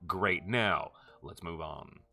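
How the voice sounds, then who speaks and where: A man casually narrating a game tutorial, chatty and friendly, checking in with quick asides. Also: gravelly, bright, forceful tone. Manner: chatty, jumps high in pitch for quick check-ins. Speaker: gaming tutorial host.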